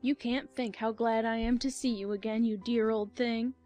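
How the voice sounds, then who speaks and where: A woman acts out a storybook duchess, affected and sententious. She gushes in a fairly high voice, with soft music behind her. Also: steady tone, normal speed, natural voice. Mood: gushing. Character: gushing, moralizing, clingy Duchess. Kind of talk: dramatized audiobook acting.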